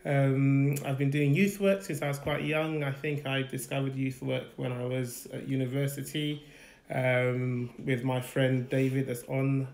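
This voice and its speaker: A man with a London accent, relaxed and plainspoken, telling his life story with light humour in a casual live chat at home. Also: medium pitch, measured pace, creaky, roomy sound. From Nigeria, raised in UK. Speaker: guest, husband.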